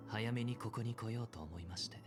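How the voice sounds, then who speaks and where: A man's voice: fairly low pitch, rapid-fire, soft background music, lightly acted. An actor playing a reserved, philosophical young man in an anime-style game, formal, courteous and melancholy. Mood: polite, reserved. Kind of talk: Japanese anime-game voice acting.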